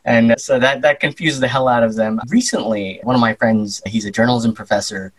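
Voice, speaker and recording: Animated American Midwestern man. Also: medium pitch, brisk, rough recording. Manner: animated, slips into playful voices and accents. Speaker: journalist, interview guest. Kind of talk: casual conversational storytelling.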